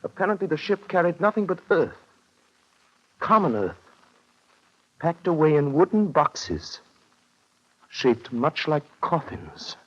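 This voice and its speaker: Solemn, clear-voiced 1930s radio narrator, male. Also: on the high side, expressive, fairly bright tone, heavily acted. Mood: grave, suspenseful. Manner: grave, measured, dramatic. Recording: dull lo-fi 1930s radio recording.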